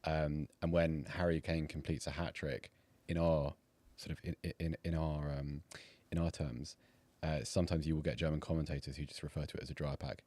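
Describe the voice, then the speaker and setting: Deep-voiced man explaining at length. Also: little pitch movement, a little fast, hardly any pauses, darkish tone, no room sound. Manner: explains in detail with hesitant restarts. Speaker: co-host. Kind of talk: casual football chat.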